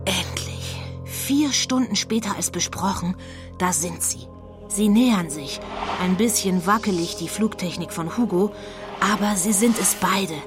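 A woman playing the storyteller in a spooky children's radio drama, husky and suspenseful in her emphatic narration. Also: mid-range voice, unhurried, music in the background, natural voice.

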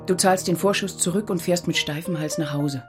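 A woman's voice: lowish voice, brisk, over music, close to an everyday voice. An actress playing a forthright, insistent woman in a radio drama, speaking directly and urgently. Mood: insistent, impatient. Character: insistent, forthright woman challenging her former boyfriend.

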